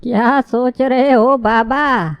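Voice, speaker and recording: Woman acting a anxious old father, cartoon villager, plaintive, extremely slow, clean smooth tone. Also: a little high, fairly even intonation, dry recording, highs cut off. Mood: trusting. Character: worried, trusting elderly village father in cartoon. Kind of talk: cartoon dialogue.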